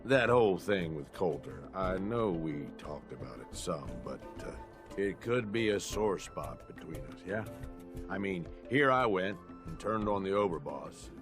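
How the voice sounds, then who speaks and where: A gruff raider henchman in middle age for a video game. He speaks very low and plainly, confiding in frank, colloquial phrases, with music clearly behind him. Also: animated, unhurried. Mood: frank, uneasy. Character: gruff, frank middle-aged raider right-hand man.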